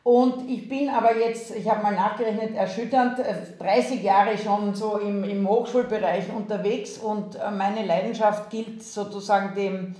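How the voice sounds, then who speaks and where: A woman's voice: mid-range voice, steady tone, moderate pace, few pauses, mellow, reverberant. A woman from Austria with an Austrian accent, a university president talking in an interview, thoughtful and hesitant, with frequent fillers. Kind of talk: interview over video call. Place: remote video call.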